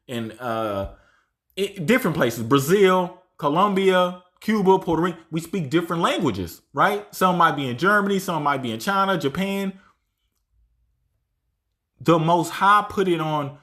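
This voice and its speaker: A man with a notably high voice delivers a loose, conversational Bible lesson. He drives his points home emphatically and keeps asking "right?" as he goes. When he reads scripture, he slows right down. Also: fairly bright tone. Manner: emphatic, tags lines with "right?"; reads verses aloud slowly. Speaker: Bible teacher. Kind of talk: informal scripture teaching.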